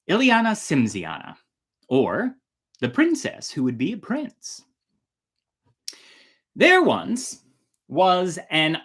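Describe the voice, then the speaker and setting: A woman hosting a storytime, reading a fairy tale in a theatrical, deliberate way with casual asides. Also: fairly low pitch. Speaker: storyteller and host.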